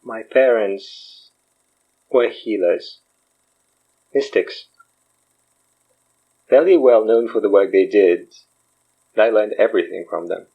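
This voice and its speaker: A low, husky male voice as a wry, self-deprecating man in an audio drama. He rambles and jokes in a natural, conversational way. The sound is slightly dull. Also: slow pace, long pauses, exaggerated voice. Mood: bitter, reflective. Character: wry, self-deprecating man, recently freed from possession, fake guru healer.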